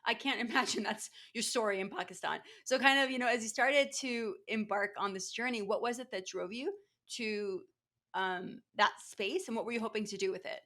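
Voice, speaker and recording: A woman's voice: a little high, moderate pace, sharp tone. A woman hosting a podcast interview, asking long, rambling questions with restarts and fillers. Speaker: podcast host. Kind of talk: conversational interview.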